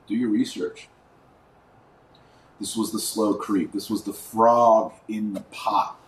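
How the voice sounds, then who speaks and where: A middle-aged man with a low-pitched voice hosts a religious commentary podcast. He talks informally but emphatically, stretching key words and leaving pauses. The sound is roomy, as if he sits some way from the microphone. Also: level tone. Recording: roomy echo, distant mic, faint noise.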